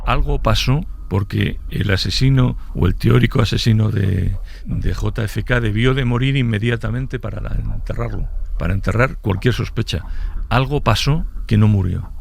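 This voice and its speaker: A man from giving unscripted expert commentary in an interview, halting and repeating words. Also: lowish voice, gravelly.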